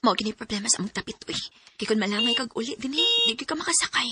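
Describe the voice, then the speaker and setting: High-voiced lovestruck young Cebuano Filipino student girl, played by a woman. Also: sing-song, fast. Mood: guarded. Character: lovestruck young female student, hurt yet forgiving. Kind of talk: Bisaya radio drama acting. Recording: noisy radio drama audio with clicks.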